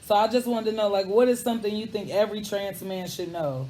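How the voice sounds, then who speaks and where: A man hosting a casual interview, asking questions in a relaxed, candid, conversational way. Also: high voice, quick pace, slight background noise, poor audio. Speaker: host.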